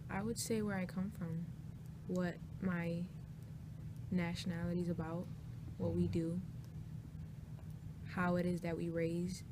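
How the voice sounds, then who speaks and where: A young woman from Chicago, a college student, answering in a steady, listing way. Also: lowish voice, background noise. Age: young adult. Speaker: student interviewee.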